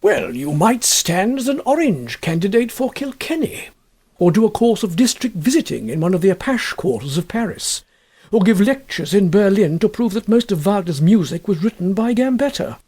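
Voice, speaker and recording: A man voicing a confident gentleman friend who gives droll advice in a matter-of-fact way in a storybook. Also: high voice, big pitch swings, moderate pace, slightly rough, exaggerated voice. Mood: droll, assured.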